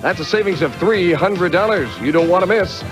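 A man's voice: high-pitched, lively intonation, fairly quick. A man reading scripted prize and sales copy as a game show announcer, in polished salesman patter. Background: music.

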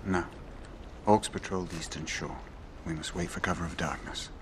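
An actor playing a stern, quietly resolute ranger in a fantasy film, speaking in a grave, terse manner. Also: male voice, deep voice, normal speed, very noisy, lightly acted. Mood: firm, low. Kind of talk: epic fantasy film acting. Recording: film soundtrack with loud music behind.

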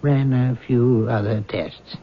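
An actor playing a measured, rational doctor in a 1970s radio drama. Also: male voice, mid-range voice, steady tone, close to an everyday voice. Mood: clinical. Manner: measured, clinical. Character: calm rational male doctor, middle-aged, firm. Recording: dull old radio broadcast with faint hiss.

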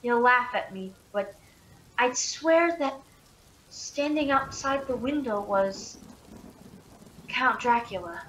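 A woman's voice: fairly high pitch, lively intonation, takes it slowly, long pauses, fairly bright tone. A young woman acting as an anxious, caring young woman keeping night watch in a radio play. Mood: uneasy. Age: young adult. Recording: roomy, some background noise.

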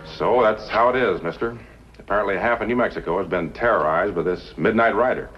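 An actor playing an earnest, friendly frontier marshal in a 1950s television western. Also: male voice, on the low side, fairly quick, soft background music, lightly acted. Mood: concerned, friendly. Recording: muffled, noisy old television soundtrack.

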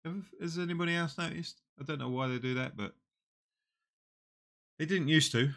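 A man in his forties with a slightly nasal voice from a cold, quietly talking through a mobile puzzle game on a headset. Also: a little high, gravelly. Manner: slow, muttering play-by-play, often trailing off. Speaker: gaming channel host. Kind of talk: casual mobile game commentary. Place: small room indoors.

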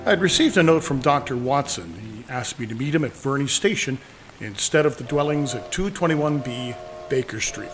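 A man playing an inquisitive narrator in an audio drama, speaking in a measured, questioning way. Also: medium pitch, animated, lightly acted, rough recording. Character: inquisitive male narrator, acquaintance of a doctor. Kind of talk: audio theatre narration and dialogue.

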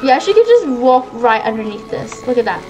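A woman's voice: a little high, sing-song, brisk, muffled recording. A woman doing casual gameplay commentary, chattering reactively and exclaiming as she goes. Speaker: gaming video host. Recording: loud game audio and music behind.

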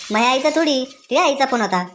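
A woman's voice: high voice, lively intonation, normal speed, over music. A woman voicing a mild-mannered, sly young husband in a cartoon comedy skit. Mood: placid.

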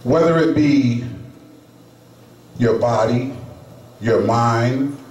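Middle-aged male lay preacher, slow deliberate delivery, roomy chapel sound from a distant camera. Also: mid-range voice, a little mellow, muffled recording. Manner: slow, emphatic preaching with pauses. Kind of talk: sermon. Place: small chapel, indoors. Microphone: handheld microphone. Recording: echoey room, camera at a distance.

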